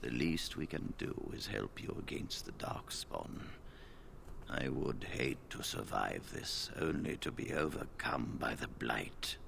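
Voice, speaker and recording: An actor playing an elderly male wizard leader, grave and weary, speaking in a measured, solemn way. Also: extremely low pitch, lively intonation, gravelly, a bit bright, a little hiss or hum, full character voice. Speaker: first enchanter.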